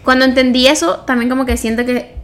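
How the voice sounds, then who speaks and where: A young adult woman with a fairly high voice and a Venezuelan Spanish accent. She talks as a podcast co-host in an informal, conversational way, reworking her sentences as she tells personal stories. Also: fairly even intonation, fast, a bit bright. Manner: informal, self-correcting storytelling. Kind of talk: casual personal chat.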